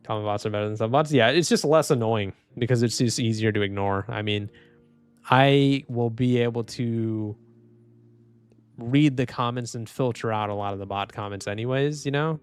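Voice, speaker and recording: A man live streaming and reading chat, speaking softly and calmly with frequent restarts. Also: mid-range voice, normal speed, clean tone. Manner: soft-spoken, calm, trailing off with restarts and small laughs. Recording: dry close mic, faint music underneath.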